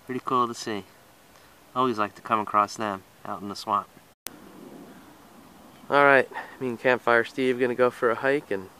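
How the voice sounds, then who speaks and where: A man narrating a winter hike through the woods to his camera, relaxed and admiring of what he finds along the trail. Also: mid-range voice, smooth, low-quality recording. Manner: relaxed, admiring, speaks to camera while walking. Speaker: hiking vlogger. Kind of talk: casual hiking commentary. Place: outdoors in scrubby woods and swamp trails. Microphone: handheld camera while hiking.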